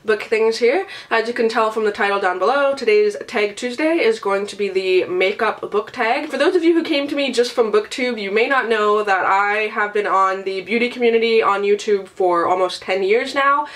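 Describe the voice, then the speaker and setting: A woman's voice: mid-range voice, moderate pace, hardly any pauses, a bit bright. A woman talking with a stuffy, nasal voice because she is sick. She rambles in a loose, chatty vlog style and swears casually as she goes. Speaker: beauty and book vlogger.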